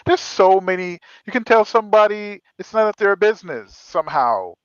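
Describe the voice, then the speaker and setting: A man with a smooth, resonant voice, explaining at length in a relaxed chat. He stretches some words out as he goes. The sound is dry and a bit dull, like a basic lo-fi mic. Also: sits high, dry recording. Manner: explains at length, drawn-out phrases. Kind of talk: casual chat.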